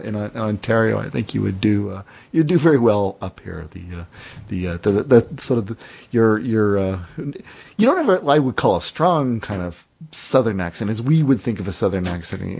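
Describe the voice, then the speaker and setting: Halting, husky Canadian man chatting. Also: fairly low pitch, darkish tone. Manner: halting, many restarts and fillers. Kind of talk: casual chat. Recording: dull lo-fi sound.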